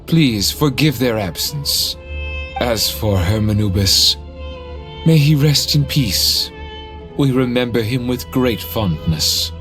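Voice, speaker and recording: A man's voice: medium pitch, lively intonation, slow, fairly bright tone, soft background music. A man voicing a dignified, loyal ancient guardian in a video game, with formal and courteous speech. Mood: solemn, respectful. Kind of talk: scripted video game dialogue.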